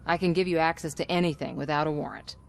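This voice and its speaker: A woman's voice: low voice, steady tone, quick pace, gravelly, slight background noise. An actress playing a scheming, manipulative woman in charge of a commercial shoot in a video game, sly and assertive. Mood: sly, conspiring. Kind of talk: video game cutscene acting.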